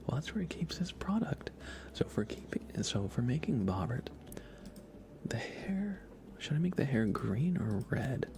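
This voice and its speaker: A man livestreaming a game in ASMR style, softly whispering in a relaxed, casual way as he thinks aloud and chats with viewers. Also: mid-range voice, moderate pace, noisy background. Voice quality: soft, breathy whisper.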